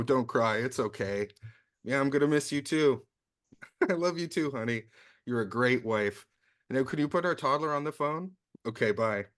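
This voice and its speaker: An actor playing a casual, foul-mouthed detective dad, doting and blunt, in a comedic script read. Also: male voice, medium pitch, natural voice. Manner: casual, profane, comic.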